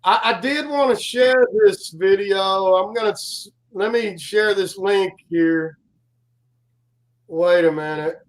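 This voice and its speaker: A man in his forties, a guest co-host chatting casually on a live stream, with a loud, carrying voice and a rambling way of telling stories full of fillers and restarts. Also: high-pitched, takes it slowly, rough recording.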